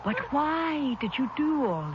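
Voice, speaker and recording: A muffled, noisy old radio drama has a wronged sister who is calm and quietly resolute. She speaks slowly at a medium pitch, with composure. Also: exaggerated voice. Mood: incredulous. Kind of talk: 1970s radio drama acting.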